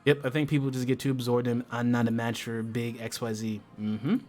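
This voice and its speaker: A man's voice: mid-range voice, little pitch movement, a little fast, a little mellow, soft background music. An American man hosting a casual livestream chat, talking in an impassioned, slangy way and swearing freely. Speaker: livestream host.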